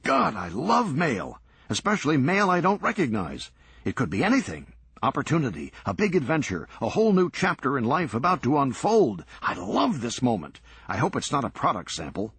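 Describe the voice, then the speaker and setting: A man voicing a manic, excitable male collector who talks fast and swings between moods. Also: medium pitch, gravelly, slightly rough, no echo, voice pushed up, heavily acted. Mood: giddy. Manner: rapid, giddy, swinging wildly between moods.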